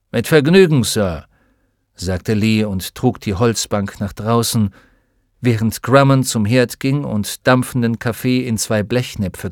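A male audiobook narrator with a mid-range voice, reading a story with steady, even pacing. The recording is close and dry, with no room sound. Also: medium pitch, no echo. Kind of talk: scripted narration.

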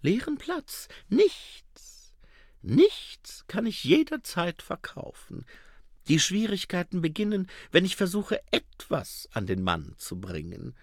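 A man reading an audiobook and voicing a shifty, fast-talking male street hawker with an eager, wheedling patter. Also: fairly high pitch, wildly swooping pitch, creaky, no room sound, studio quality, pitched above the performer's own voice, heavily acted.

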